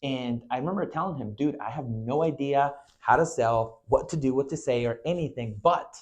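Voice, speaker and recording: A man's voice: mid-range voice, normal speed. A man from Venezuela in his twenties, an agency owner speaking earnestly in a recruitment video, with frequent "you know" and "right" fillers.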